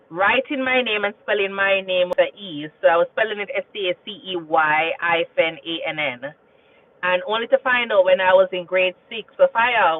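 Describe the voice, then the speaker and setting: A talkative Jamaican woman hosting a relaxed livestream, answering viewers and drifting into stories, often checking in with "you understand?". Her voice comes through a thin, tinny, phone-like signal. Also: medium pitch. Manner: chatty and storytelling, repeats phrases and asks "you understand?". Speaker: nurse and livestream host. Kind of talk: casual livestream chat reading viewer comments.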